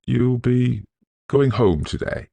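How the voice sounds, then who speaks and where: A man's voice: medium pitch, lively intonation, slow, creaky, warm tone, breathy edge, dry recording, voice pushed up, heavily acted. A man voicing a polite, tentative, nervous male servant in a storybook ghost tale. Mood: tentative, obliging.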